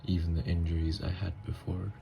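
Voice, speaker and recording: A man playing an anguished, newly turned vampire in an intimate ASMR roleplay, speaking in a halting, hushed, gravelly voice. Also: low-pitched, completely flat delivery, noisy background. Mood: awed. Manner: halting, hushed, breathy delivery with long pauses.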